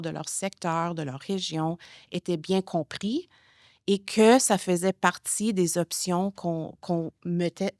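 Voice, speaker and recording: A woman gives a prepared public speech as a senior official, measured and earnest, stressing key phrases and weaving in personal anecdotes. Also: lowish voice, a little mellow, no echo, studio quality. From Canada. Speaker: senior government official giving a talk.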